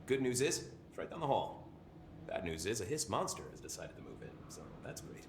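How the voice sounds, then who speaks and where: An actor playing a weary, sardonic security chief in a video game, speaking informally. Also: male voice, medium pitch, sing-song, brisk, roomy sound, background noise. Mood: sardonic, resigned. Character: wry, weary male security chief under siege. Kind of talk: video game cutscene dialogue.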